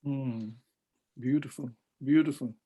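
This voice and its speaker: A man hosting a poetry reading, introducing a guest poet and answering with warm appreciation. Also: mid-range voice, steady tone, a little slow, slightly rough.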